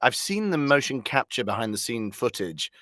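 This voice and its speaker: A man's voice: medium pitch, sing-song, moderate pace, pushed and bright, no echo, studio quality. A man speaking casually as an interview guest, hesitant, trailing off and self-deprecating. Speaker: voice actor guest.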